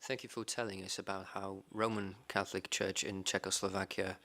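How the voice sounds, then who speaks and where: Man, low voice, conference interpreter, simultaneous interpretation, even and measured.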